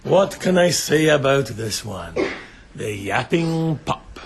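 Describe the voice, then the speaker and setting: An actor playing a sadistic bandit chieftain in a radio drama, theatrical and mocking, relishing every word with menace. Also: male voice, mid-range voice, lively intonation, slow pace, creaky, background noise. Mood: sneering, taunting. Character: gloating, sadistic bandit chieftain taunting bound captives.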